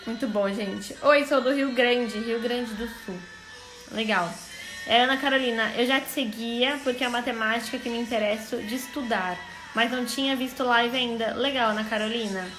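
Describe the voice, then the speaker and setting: A woman's voice: on the high side, normal speed, echoey room, music in the background, low-quality recording. A woman with a Brazilian accent hosting a casual live chat, chatty and welcoming to those joining. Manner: chatty, welcoming viewers by name. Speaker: live stream host, clinical psychologist.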